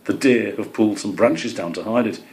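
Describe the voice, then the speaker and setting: A man's voice: lowish voice, a little fast, darkish tone, close to an everyday voice. Willing, cheeky woodland squirrel sidekick for a storybook, chummy in manner male reader. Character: hard-working squirrel friend, cheeky and willing.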